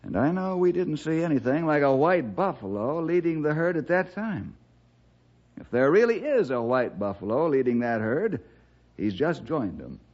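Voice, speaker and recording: Calm, inquisitive ranger, American, husky, lively intonation, old-time radio drama. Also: male voice, a little high, moderate pace. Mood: thoughtful. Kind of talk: 1960s radio drama acting. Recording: dull vintage radio recording.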